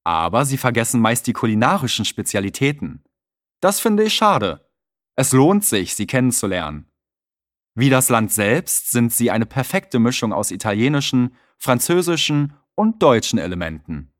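A man's voice: medium pitch, sing-song, normal speed, no echo, studio quality. A man in a language course reading a scripted text and guiding a tour in a friendly, explanatory way. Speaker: narrator and tour guide. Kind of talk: scripted narration.

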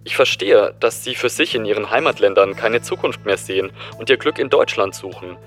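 A man's voice: lowish voice, animated, a little fast, fairly bright tone, soft background music. A man acting as a caller on a scripted radio show, voicing his opinion in a matter-of-fact way.

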